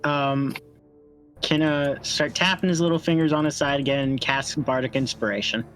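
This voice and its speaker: A player at a tabletop game speaks in a medium-pitched voice. They casually describe their character's actions in the third person. Soft music plays underneath. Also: steady tone, moderate pace, rough recording. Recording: music playing softly behind. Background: faint background music.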